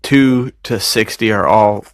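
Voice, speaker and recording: A man's voice: fairly low pitch, slow, dry recording, clean studio sound. A man co-hosting a podcast in casual chat, with a slangy, bro-ish way of talking. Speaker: podcast co-host.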